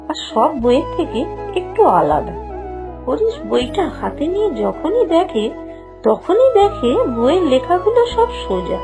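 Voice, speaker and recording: Casting: female storybook narrator, bright high register, very melodic delivery, over a music bed. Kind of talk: scripted children's story narration. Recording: music behind the voice. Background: background music.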